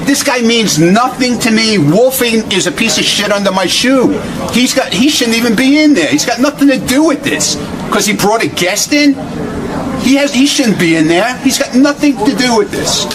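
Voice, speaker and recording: Annoyed american guy, high voice jumping all over, brushing people off, noisy vehicle sounds behind. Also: expressive, normal speed. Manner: irritated, dismissive, profane. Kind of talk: confrontational unscripted talk.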